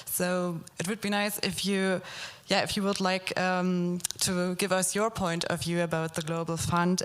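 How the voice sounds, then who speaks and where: Flat, low-voiced woman moderator. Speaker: panel moderator. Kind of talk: moderating a panel.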